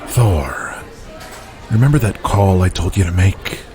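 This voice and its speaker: A man's voice: sits low, lively intonation, a little slow, over music. A man voicing an arrogant, foul-mouthed 1980s wrestling promoter, curt and contemptuous, in an audio drama. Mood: irritated, domineering.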